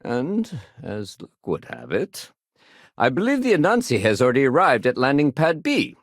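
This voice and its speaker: An actor playing a dutiful, weary security sergeant in a video game, speaking in a measured, official yet conversational way. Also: male voice, medium pitch, huge pitch swings, no echo, studio quality. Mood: businesslike. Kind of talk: video game dialogue acting.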